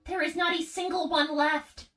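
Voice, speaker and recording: A woman's voice: extremely high pitch, fairly even intonation, normal speed, fairly bright tone. A woman voicing a young robot girl in a livestream roleplay, solemn, withdrawn and formal in her speech. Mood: hopeless. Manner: formal, precise, subdued. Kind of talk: improvised in-character livestream roleplay.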